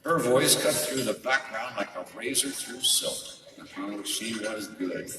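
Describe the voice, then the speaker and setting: Male actor as noir radio detective, lowish pitch, deadpan wisecracks, cynical, tough, roomy distant recording. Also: a little slow. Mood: wry narrating. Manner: wry, deadpan, tough-talking. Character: wisecracking hardboiled male private detective, cynical and self-aware. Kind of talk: noir radio drama narration and dialogue. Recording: roomy hall, distant mic, music behind.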